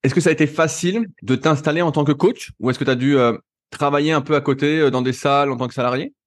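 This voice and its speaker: Male podcast host, quick casual questioning, mid pitch. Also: no room sound, clean studio sound. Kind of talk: casual interview chat.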